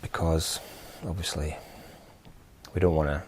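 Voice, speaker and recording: A man from Scotland teaching an informal English lesson, speaking haltingly and giving simple example phrases. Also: extremely low pitch, level tone, a little mellow, a little hiss or hum. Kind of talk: informal language lesson to camera.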